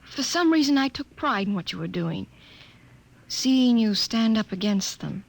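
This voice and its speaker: An actress playing an earnest, troubled young woman scientist in a 1950s radio drama, with a breathy voice and halting delivery. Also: a little high, expressive. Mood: wondering, tender.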